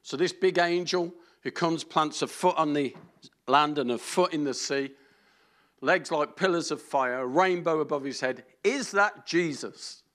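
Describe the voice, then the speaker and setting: A man's voice: a little high, measured pace, fairly bright tone. Chatty preaching man. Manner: conversational, peppered with hallelujahs and light jokes. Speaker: pastor. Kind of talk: informal sermon.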